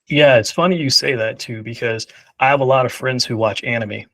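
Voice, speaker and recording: A man's voice: medium pitch, fairly quick, a bit bright. A man hosting an interview, asking questions in a casual way with lots of fillers.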